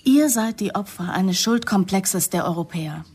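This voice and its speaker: An actress playing a defiant, sarcastic young woman in a radio drama, with a husky voice and a challenging, emotional manner. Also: medium pitch, expressive, normal speed, a little mellow. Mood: earnest, fervent.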